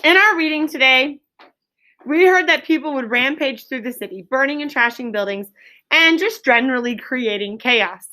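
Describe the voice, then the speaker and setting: An American woman speaks as an elementary school teacher leading an interactive lesson. Her voice is high and bright, and she instructs clearly, modeling things for her young pupils. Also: lively intonation, normal speed.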